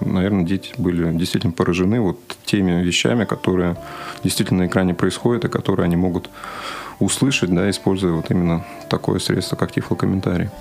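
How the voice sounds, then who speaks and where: A man co-hosting a conversational radio interview, phrasing his questions and remarks in a measured, hesitant way. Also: sits low, creaky, a little mellow.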